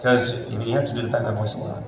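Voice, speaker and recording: A man's voice: mid-range voice, flat delivery, racing. A man moderating a convention panel, chatty and laughing often as he reads out audience questions. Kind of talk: casual interview questions. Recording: roomy hall audio from a distance with noise.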